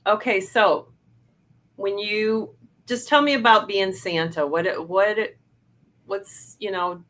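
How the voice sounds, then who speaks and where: A middle-aged woman with a low-pitched voice hosts a relaxed interview. She talks in a loose, chatty way, often stopping to restart a thought or fill a pause with "um.". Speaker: podcast host. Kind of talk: casual interview chat.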